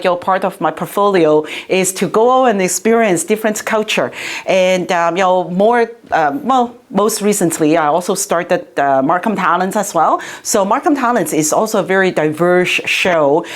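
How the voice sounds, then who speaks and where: A middle-aged woman, originally from Hong Kong, talks as an interviewee about her work as a city councillor. Her voice sits somewhat low for a woman. She speaks in a loose, chatty way, full of "you know" and restarted phrases. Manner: chatty, many fillers and restarts. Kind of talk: interview answers.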